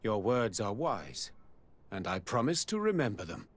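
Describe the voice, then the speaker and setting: Stately, authoritative baron. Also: male voice, lowish voice, big pitch swings, moderate pace. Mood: gracious, reflective. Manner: formal, stately. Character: dignified middle-aged baron governor, solemn, authoritative statesman. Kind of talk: dramatic video game acting.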